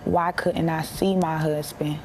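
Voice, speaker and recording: A young American woman giving a personal account in an interview, plain-spoken and halting, repeating herself for emphasis. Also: low voice, level tone, darkish tone, a little hiss or hum. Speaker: interviewee, bereaved military widow.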